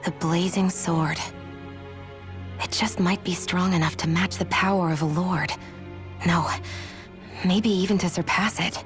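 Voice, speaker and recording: A woman's voice: on the low side, steady tone, unhurried, long pauses, natural voice. An actress playing a cool, guarded young woman who is a captive in a video game. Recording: game audio with faint music.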